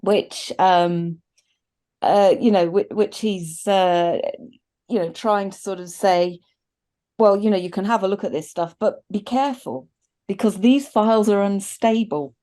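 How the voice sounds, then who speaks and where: Mellow-voiced middle-aged woman, enthusiastic. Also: medium pitch, measured pace. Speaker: film review panelist. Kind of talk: casual review chat. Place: indoors on a video call.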